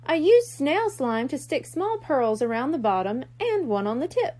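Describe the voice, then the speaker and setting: A woman's voice: sits high, sing-song, moderate pace, darkish tone. A woman voicing a caring, conscientious girl kitten-mermaid in a children's storybook, earnest and slightly worried. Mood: kind, earnest.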